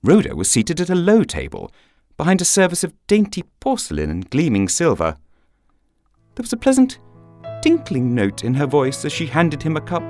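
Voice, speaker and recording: A man's voice: fairly high pitch, huge pitch swings, moderate pace, darkish tone, no room sound, faint music behind. A man narrating a scripted short story for radio in a wry, theatrical storytelling manner.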